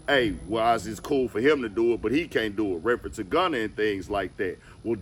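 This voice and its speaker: An American man hosting casual online gossip commentary, speaking in an emphatic, slangy way. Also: medium pitch.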